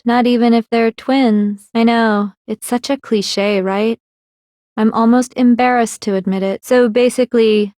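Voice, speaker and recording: A woman's voice: mid-range voice, darkish tone, dry recording, clean studio sound, close to an everyday voice. A woman voicing a smug, coldly analytical teenage girl villain in an anime video game, composed and condescending as she explains herself. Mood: playful, smug.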